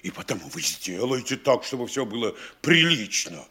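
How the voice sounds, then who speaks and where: A man's voice: mid-range voice, big pitch swings, normal speed, sharp tone, husky, heavily acted. An actor playing a pompous middle-aged town mayor who lectures and instructs his officials in a radio play. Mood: scolding. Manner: bossy, instructing, mock-scolding. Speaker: town governor. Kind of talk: studio radio play acting.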